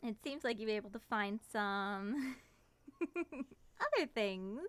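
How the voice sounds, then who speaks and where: A woman's voice: a little high, slow pace, clean tone, dry recording. A woman playing a playful, flirtatious moth monster woman with a teasing manner in an ASMR roleplay. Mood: mocking, gleeful. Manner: teasing, sing-song endearments and excited exclamations.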